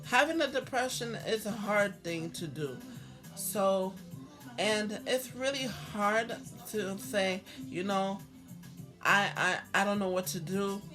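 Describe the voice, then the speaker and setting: Hoarse, slow-talking woman. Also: mid-range voice, music in the background. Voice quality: halting, repetitive, with many restarts. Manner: earnest, heartfelt. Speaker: vlogger. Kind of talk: personal talk to camera.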